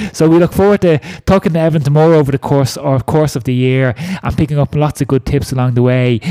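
Male podcast host, chatty and casual, slightly high-pitched, stumbles and repeats a little. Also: dark tone, clear, resonant tone. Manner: chatty, repeats words when thinking.

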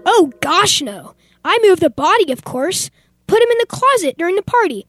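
A young woman playing a fussy, wealthy, oblivious gentleman in an amateur old-time radio play. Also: very high-pitched, very animated, normal speed, dry recording, studio quality. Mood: fussy, indignant. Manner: fussy, exclamatory, posh phrasing.

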